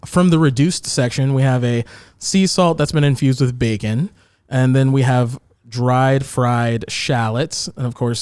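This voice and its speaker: Man, chef guest, casual cooking chat, jokey and rambling, middle pitch, dry close sound. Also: a little mellow, clear, resonant tone, no echo. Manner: joking, rambling explanations with fillers.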